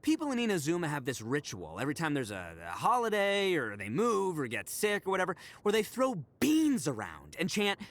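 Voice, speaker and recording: A man's voice: fairly high pitch, huge pitch swings, a little fast, few pauses. A man voicing a brash, boastful, big-hearted young oni gang boss in a video game, boisterous and slangy in a theatrical way. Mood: exasperated. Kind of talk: video game character voice acting.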